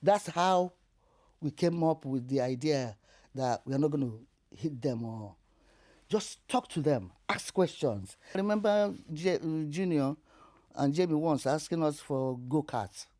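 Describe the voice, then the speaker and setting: An older Nigerian woman recounts her past as an interview guest. Her voice is mellow and warm, and she tells even hard memories in an animated way, laughing as she goes. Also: low voice, a little slow. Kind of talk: recounting her life story.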